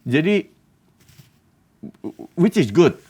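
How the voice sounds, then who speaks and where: A man's voice: on the high side, big pitch swings, very slow, dark tone, slightly rough. A man, a football coach and pundit, talking in a casual sports show, emphatic and argumentative, dropping English phrases and asking rhetorical questions.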